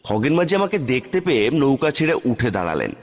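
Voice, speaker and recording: A man's voice: medium pitch, very animated, brisk. A man narrating a horror story in the first person, in a dramatic scripted style. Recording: long reverb on the voice.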